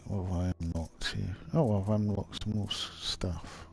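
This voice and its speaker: A man with a nasal, bunged-up voice from hay fever, rambling hesitantly with many pauses while he streams a video game. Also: sits low, steady tone, gravelly, darkish tone, soft background music. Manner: hesitant, many ums and restarts. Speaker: livestreamer playing a video game. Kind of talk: casual rambling commentary over gameplay. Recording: game sounds and vehicle noise behind. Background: video game audio with vehicles.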